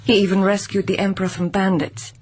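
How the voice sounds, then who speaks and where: A woman acting in a radio drama as a gentle, loyal lady of the court, earnest and careful, with a softly husky voice. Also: mid-range voice, level tone, a little fast, lightly acted. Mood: deferential, steadfast.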